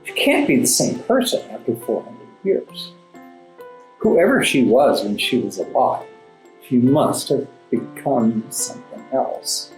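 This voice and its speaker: A man speaking as an interview guest, explaining and telling stories in a thoughtful, halting way with emphatic asides. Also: mid-range voice, big pitch swings, darkish tone. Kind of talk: conversational storytelling and explaining in an interview. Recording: roomy echo, music underneath. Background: classical background music.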